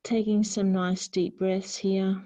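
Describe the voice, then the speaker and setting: A woman teaching a yoga class, giving gentle, unhurried guidance with pauses between phrases. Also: medium pitch, little pitch movement. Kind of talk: guided yoga class instruction. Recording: slightly dull, lo-fi sound.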